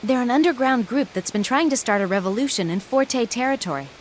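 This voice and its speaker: A woman's voice: fairly high pitch, animated, fairly quick, slight background noise, lightly acted. A woman voicing a knowing young female adventurer in a video game, frank and matter-of-fact.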